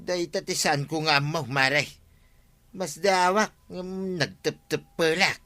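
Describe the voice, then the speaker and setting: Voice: medium pitch, slow, pushed and bright. An actor playing a suspicious, grumbling village neighbour in a comedy radio drama, with an Ilocano accent. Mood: grumbling, informing.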